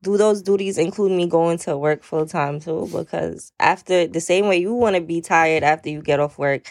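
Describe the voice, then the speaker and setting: A woman's voice: fairly low pitch, normal speed, no room sound. A woman, a podcast guest speaking bluntly and laughing easily in casual chat. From Brooklyn, New York, family from Saint Lucia. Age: 30s.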